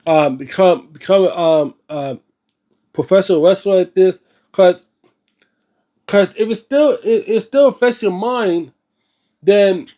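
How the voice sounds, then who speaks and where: High-pitched male vlogger, halting and repetitive, earnest casual commentary with slangy emphasis. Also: mellow. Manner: halting, repetitive, searching for words. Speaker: host of a book reading and discussion series. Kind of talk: unscripted casual video commentary.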